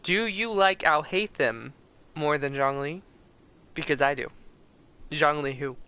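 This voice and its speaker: Slow-paced man streamer, bright clear voice. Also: on the high side. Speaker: livestream host. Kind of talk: casual game stream chat.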